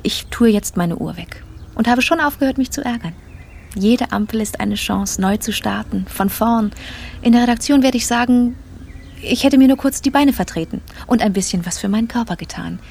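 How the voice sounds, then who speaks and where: Creaky woman an uptight boss psyching herself up, radio play monologue, bit of noise behind. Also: a little high, moderate pace, a little mellow. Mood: forced optimism. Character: controlled, tense female office boss, privately resentful.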